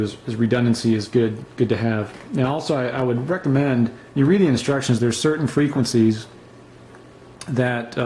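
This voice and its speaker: A relaxed man talks through his survival gear off the cuff, full of ums and restarts. His voice is mellow and his pitch stays flat and even. A faint hiss sits under the recording. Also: low-quality recording. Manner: relaxed, unscripted, many fillers and restarts. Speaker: gear reviewer. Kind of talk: casual show-and-tell review.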